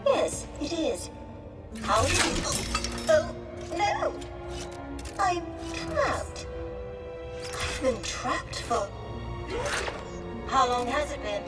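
A high-voiced, fragile lady droid from a video game. She speaks slowly and formally, with courteous, devoted earnestness. Her voice sits at a distance under loud music. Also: wildly swooping pitch, exaggerated voice. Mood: disoriented, courteous. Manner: formal, polite, halting speech. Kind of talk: video game cutscene acting.

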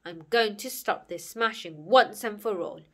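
A woman's voice: medium pitch, a little slow. A woman voicing a small, brave, kind boy in a children's story, earnest and plain-spoken. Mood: firm, fed up.

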